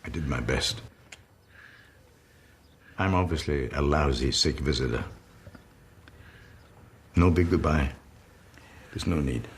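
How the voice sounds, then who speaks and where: Halting, emotional old comedian. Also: male voice, rumbling low. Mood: tender, sorrowful. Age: older. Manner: halting, stammering, repeats words. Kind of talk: radio-play style screen drama acting.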